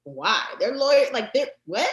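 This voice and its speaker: A woman guest in a casual interview, talking with lively energy, many fillers and quick restarts. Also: on the high side, reverberant. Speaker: guest, card deck creator.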